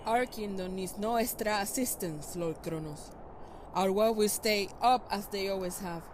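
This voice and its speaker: A woman's voice: medium pitch, normal speed, lightly acted. A woman voicing a composed, reproachful elven sister who speaks with poise. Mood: resolute. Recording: background noise.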